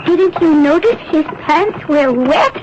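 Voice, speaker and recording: An actress playing a compassionate young rescuer of children in a radio drama, telling things expressively. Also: female voice, high voice, expressive, takes it slowly, noisy background. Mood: fond, amused. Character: tender young woman rescuing refugee children. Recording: dull old radio broadcast, music behind.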